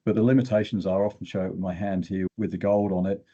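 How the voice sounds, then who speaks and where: A man's voice: low-pitched, fairly even intonation, fast, dark tone, lo-fi sound. An older man speaking as a guest expert, explaining technical material in a plain-spoken way with short emphatic phrases. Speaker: guest expert in exploration geochemistry.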